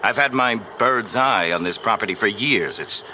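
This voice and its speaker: A man's voice: fairly low pitch, moderate pace, sharp tone, a little hiss or hum, poor audio. An actor playing a pushy, boastful property developer in an audio drama, with a salesman's overfamiliar manner. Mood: smarmy, persuasive. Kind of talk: audio fiction drama acting.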